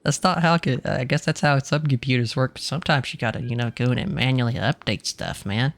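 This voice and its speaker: A man's voice: medium pitch, a little fast. A man giving casual, joking gameplay commentary in informal, bro-ish slang. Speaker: game streamer.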